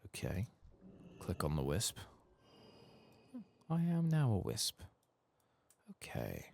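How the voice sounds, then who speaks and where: A man softly narrating a video game playthrough in ASMR style, with dry, sweary asides. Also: lowish voice, wildly swooping pitch, slow, vocal fry, a little hiss or hum. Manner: dry, sweary asides, reads quest text aloud. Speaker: ASMR gaming streamer.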